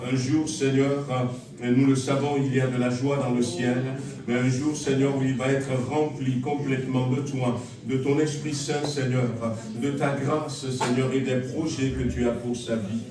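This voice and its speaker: A male pastor praying over and baptizing a believer in a church, measured with pauses. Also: mid-range voice, a crowd in the background. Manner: flat, measured, pausing with fillers. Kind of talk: prayer and baptism rite. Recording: echoey church hall from far off, congregation around.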